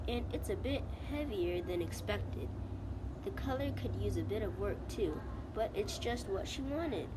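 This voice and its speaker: Casting: female student storyteller, slow deliberate read-aloud of a picture book, expressive, noisy amateur recording. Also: mid-range voice. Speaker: student reader.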